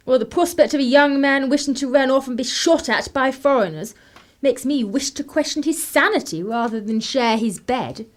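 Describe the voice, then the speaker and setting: Woman acting as young upper-class daughter, English accent, high voice, bored, arch, sardonic, 1930s radio drama. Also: normal speed, close to an everyday voice. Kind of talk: radio play acting and narration.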